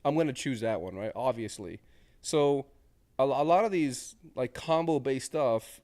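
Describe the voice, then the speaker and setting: A man's voice: medium pitch, a little slow, a little mellow. Sniffly man rambling through game commentary. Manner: rambling, thinks aloud with restarts. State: sniffly with a cold. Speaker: streamer. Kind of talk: casual commentary on game previews.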